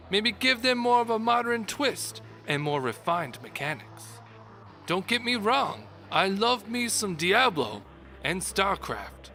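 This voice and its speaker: A man's voice: high voice, bright, forceful tone. A man giving a dramatic, mock-grand reading of a scripted game review. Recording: music behind the voice. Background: background music.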